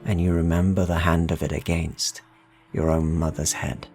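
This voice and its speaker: A man narrating dark fantasy as a game master, deliberate and ominous, pausing between short phrases. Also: deep voice, little pitch movement, soft background music. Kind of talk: slow dramatic second-person dark fantasy storytelling.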